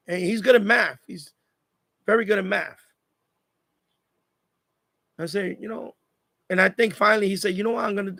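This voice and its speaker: A man's voice: high voice, gravelly, pushed and bright, dry recording. A man chatting casually on a live stream, repeating phrases as he gives advice. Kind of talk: casual live chat monologue.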